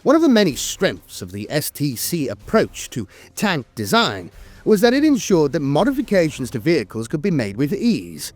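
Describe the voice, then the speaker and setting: A man reading scripted lore narration as an in-world chronicler, formal and measured, with frequent hesitations. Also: fairly high pitch, no room sound.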